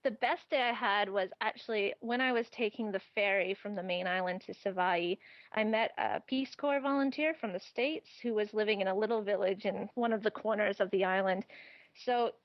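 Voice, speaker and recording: A woman's voice: mid-range voice, moderate pace, no echo, highs cut off. A woman guest on a travel interview, chatting casually and laughing often as she gives practical advice.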